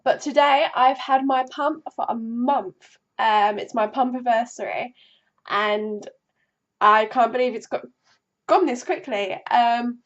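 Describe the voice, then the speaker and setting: A woman's voice: a little high, muffled recording. Woman vlogging with a cold. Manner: rambling, many ums and restarts. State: bunged up with a cold, voice going. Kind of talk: casual personal vlog.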